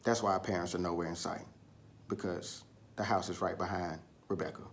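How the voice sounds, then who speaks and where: A man's voice: sits low, steady tone, a little fast, slight background noise. A man reading and retelling stories for children, speaking to them directly and asking them questions. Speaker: children's story reader. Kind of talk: storytelling read-aloud for children.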